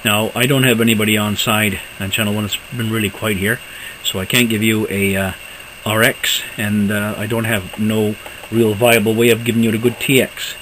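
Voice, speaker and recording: A man giving a casual, unscripted walkthrough of equipment as a hobbyist presenter, halting and thinking aloud as he goes. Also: on the low side, level tone, noisy background.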